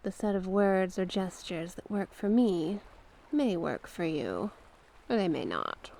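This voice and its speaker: A woman voicing a mysterious tentacled sea witch in an ASMR roleplay, a gentle, coaxing mentor who speaks in formal storybook phrases and sometimes slips toward a whisper. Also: medium pitch, lively intonation, measured pace. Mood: calm, instructive. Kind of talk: ASMR roleplay, slow scripted monologue.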